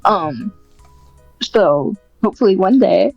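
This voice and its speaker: A woman's voice: mid-range voice, expressive, slow pace, vocal fry, a little mellow, no echo, muffled recording, soft background music. A woman podcast guest chatting casually in a modest, informal and hesitant way. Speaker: podcast guest, script writer. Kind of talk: casual interview chat.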